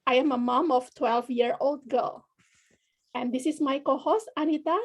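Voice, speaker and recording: A woman from Indonesia hosting a webinar, giving clear, careful opening announcements over a video call. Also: sits high, moderate pace. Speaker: webinar host.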